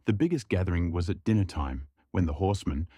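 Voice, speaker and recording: Low-voiced man narrating a story. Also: expressive, quick pace, warm tone, slightly rough, no echo, clean studio sound.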